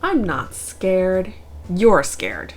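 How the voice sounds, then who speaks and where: A woman's voice: lowish voice, wildly swooping pitch, very slow, slight background noise, heavily acted. A woman voicing an easily scared male bear in a children's storybook, fretful and full of worry. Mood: defensive. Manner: fretful, listing worries and denying fear.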